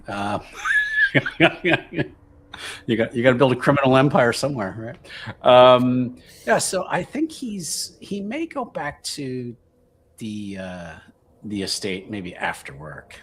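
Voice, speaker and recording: A man playing a tabletop roleplaying game, talking casually and haltingly, thinking aloud and joking as he improvises. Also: medium pitch, very animated, a bit bright, a little hiss or hum.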